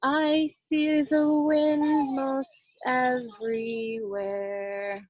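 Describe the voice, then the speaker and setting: A woman's voice: sits high, little pitch movement, very slow, darkish tone, no echo. A woman leading a children's sign language storytime, demonstrating and explaining as she goes. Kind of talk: sign language storytime lesson with singing and read-aloud.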